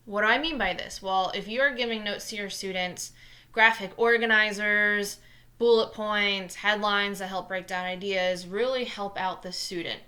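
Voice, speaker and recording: Fast-talking New Jersey woman teacher. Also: medium pitch, steady tone, bright, forceful tone. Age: 20s. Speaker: math teacher. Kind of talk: scripted lesson narration.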